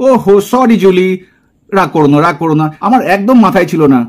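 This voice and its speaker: A man's voice: high voice, expressive, brisk. A man playing an eager, easily bossed young boyfriend in a puppet cartoon, coaxing and apologetic with some English mixed in. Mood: apologetic, soothing. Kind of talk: puppet cartoon drama acting.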